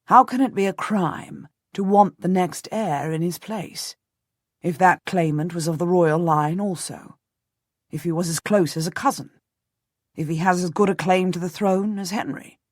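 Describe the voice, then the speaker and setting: A woman voicing a steady, cautious nobleman husband who speaks in a calm, measured, commanding way. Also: on the low side, no echo, clean studio sound, close to an everyday voice. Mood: persuasive. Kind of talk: audiobook character voice.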